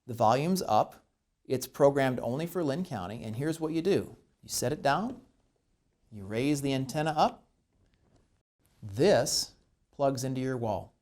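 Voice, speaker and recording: A man presenting a step-by-step instructional demonstration, explaining each step deliberately. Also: mid-range voice, expressive, a little mellow.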